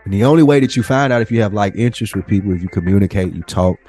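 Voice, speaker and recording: A man's voice: on the low side, very fast, mellow. A man co-hosting a podcast in casual conversation, with informal, streetwise phrasing. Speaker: podcast co-host. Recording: voice over faint music bed. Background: faint music.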